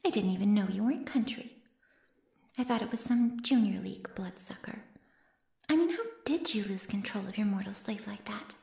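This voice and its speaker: A woman's voice: mid-range voice, expressive, moderate pace, gravelly, a little mellow. Woman playing a sly, echoing flesh-eating monster. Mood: haughty, mocking. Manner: haughty, mocking, mock-innocent, savoring words. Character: sly, gloating flesh-eating female monster in youthful skin. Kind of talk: ASMR roleplay audio drama.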